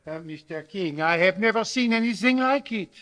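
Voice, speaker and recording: A man acts an earnest scientist in a live radio play. His voice is a little high and forceful, his wording formal, precise and slightly anxious. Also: expressive, normal speed. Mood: formal, curious. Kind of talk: live old-time radio drama acting.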